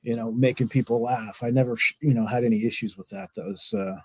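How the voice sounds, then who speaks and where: A man's voice: mid-range voice, fairly even intonation, fast talker, husky, dry recording. A man hosting a casual interview show, talking loosely with many fillers and jokes about himself. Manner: loose, filler-heavy, self-deprecating joker. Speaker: podcast host.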